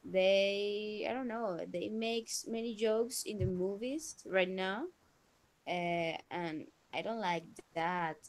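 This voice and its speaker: A woman's voice: mid-range voice, takes it slowly. A woman of twenty, a student, taking part in a video-call discussion and hedging her answers a lot. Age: 20s. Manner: hesitant, often unsure. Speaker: student participant. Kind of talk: casual group discussion.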